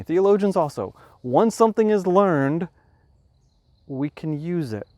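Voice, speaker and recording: A man hosting a podcast, talking through a moral question in a thoughtful, measured way, restarting phrases as he reasons aloud. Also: mid-range voice, darkish tone, dry recording. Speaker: podcast host. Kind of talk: explanatory talk on ethics.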